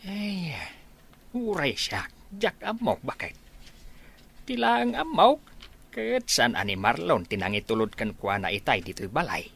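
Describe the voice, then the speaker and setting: Guy acting nosy older dad in audio drama, bright, really animated up n down. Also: a little high, a little slow, gravelly, husky, exaggerated voice. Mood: puzzled. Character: inquisitive, puzzled older father at home.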